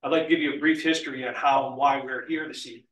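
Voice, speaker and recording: A man, a union representative, hoarse from a cold, giving a formal explanation at a school board meeting from a podium. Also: mid-range voice, fairly even intonation, racing, fairly bright tone, far from the mic. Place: meeting room, standing at a wooden podium. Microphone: podium mic, heard from a far camera. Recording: echoey room, voice heard from afar.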